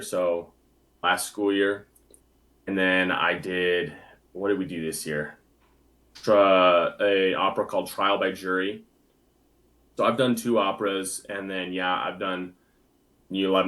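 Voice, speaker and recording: A young man and bass singer, a guest chatting casually in an interview, easygoing and full of filler words. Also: fairly bright tone. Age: young adult.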